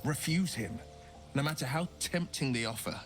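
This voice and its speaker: A man's voice: a little high, normal speed, faint music behind, close to an everyday voice. A man playing a knowing storyteller in a video game, telling a tale as a warning. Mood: knowing, cautionary. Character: cautionary male storyteller warning of devilish bargains. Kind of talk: video game character acting.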